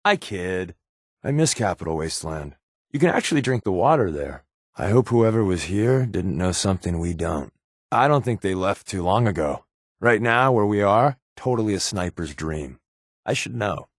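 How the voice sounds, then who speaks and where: An actor voicing a sardonic, wisecracking secret agent companion in a video game, with a dry, joking manner. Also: male voice, low-pitched, lively intonation, normal speed, no echo. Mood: wry, casual. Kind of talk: game voice acting.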